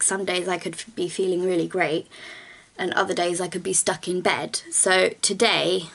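A woman's voice: lowish voice, vocal fry, fairly bright tone. Young woman chatting casually while doing makeup. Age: young adult. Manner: chatty, rambling, lots of ums and self-corrections. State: slightly croaky morning voice. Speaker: vlogger. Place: small bedroom with bookshelves, indoors. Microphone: camera at close range.

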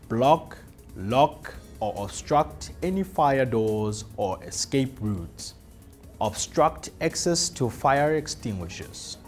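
A man presenting a scripted workplace safety induction, reading rules slowly and deliberately with emphatic listing. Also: on the low side. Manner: slow, deliberate, emphatic list delivery. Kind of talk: scripted corporate safety narration. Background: soft background music.

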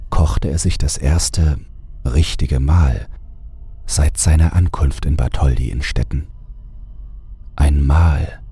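Male creepypasta narrator: extremely low, dark voice, suspenseful scripted read over music. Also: slightly rough. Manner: measured, suspenseful. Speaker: horror story narrator.

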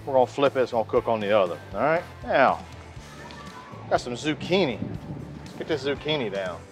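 A man's voice: mid-range voice, expressive, a little fast, over music. A man, chatting casually while cooking outdoors on a beach for his cooking channel, on a clip-on lapel mic. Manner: folksy, chatty, says "y'all" often.

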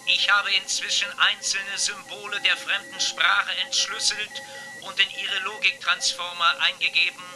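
A man voicing a calm, helpful robot ship computer in a children's sci-fi radio drama, speaking in a measured, formal, factual way. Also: high-pitched, natural voice, low-quality recording. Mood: matter-of-fact. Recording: music behind the voice.

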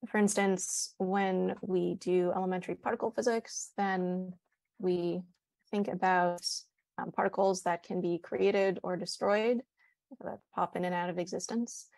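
A woman in her thirties giving a measured, precise academic explanation over a video call on an earphone mic. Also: medium pitch, darkish tone, dry recording. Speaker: panelist, physicist. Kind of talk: explanatory academic panel answer. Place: indoor room, joining by video call. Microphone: earphone headset on video call.

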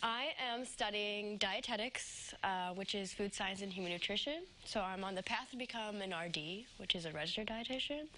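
A woman's voice: medium pitch, moderate pace, hardly any pauses, bright, forceful tone, slight background noise, rough recording. A young woman in her twenties, a student chef chatting hesitantly with many ums on a TV studio kitchen set. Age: 20s. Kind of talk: casual on-air cooking chat.

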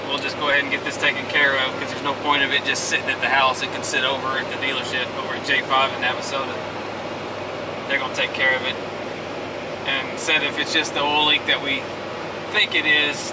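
A man's voice: medium pitch, level tone, brisk. A middle-aged man with a Texas accent chatting casually in a plain-spoken, folksy way while driving. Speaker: farm vlogger. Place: inside a moving pickup truck cab. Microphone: camera held from passenger seat. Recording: distant mic, loud road and vehicle noise.

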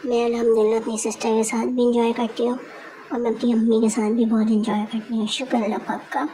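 A woman's voice: on the high side, flat delivery, fast talker, mellow, a little hiss or hum, low-quality recording. A young woman narrating her vlog in a chatty, giggly way, telling viewers about her evening out. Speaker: vlogger. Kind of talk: casual voice-over vlog narration.

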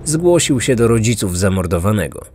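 A man's voice: on the low side, big pitch swings, normal speed, darkish tone. A man hosting a true-crime podcast, reading a scripted narration with music behind him. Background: background music.

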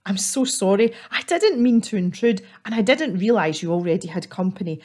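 A woman's voice: medium pitch, expressive, fairly quick, a little mellow, close to an everyday voice. A woman voicing a kind, flustered village woman in a storybook, who speaks in a sing-song, apologetic way.

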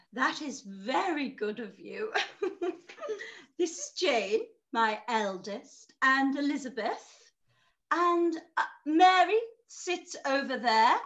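A middle-aged woman playing an excitable, effusive mother in a period radio play. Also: high voice, heavily acted. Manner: effusive, dramatic, breathy laughs and gasps. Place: small room at home, indoors. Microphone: video call.

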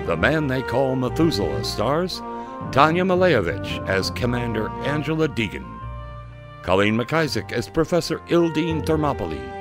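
A man announcing an adventure serial in a theatrical, suspenseful narrating style. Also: medium pitch. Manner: dramatic, suspenseful.

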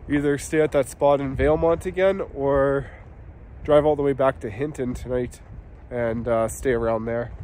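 A man, talking casually to the camera in a laid-back travel vlog and sometimes trailing off. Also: darkish tone. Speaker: vlogger. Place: outdoors in snowy woods, sometimes in a vehicle. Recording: outdoor background noise, vehicle rumble.

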